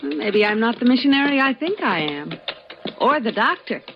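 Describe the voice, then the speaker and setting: A woman's voice: a little high, very animated, normal speed. Determined frontier lady doctor. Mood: wry, earnest. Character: determined, self-possessed frontier woman doctor. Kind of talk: old-time radio western acting. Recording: dull, slightly noisy old radio recording.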